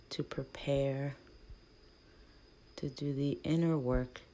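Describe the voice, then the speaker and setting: A woman leading a guided meditation in a gentle, hushed manner, speaking in short soothing phrases with long pauses between them. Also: a bass voice, gravelly, poor audio.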